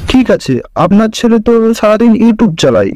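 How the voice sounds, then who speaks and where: A man's voice: high voice, very animated, fairly quick, very noisy. A man voicing a blunt, sceptical middle-aged father of a bride in a comic cartoon. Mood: doubtful. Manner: blunt, questioning.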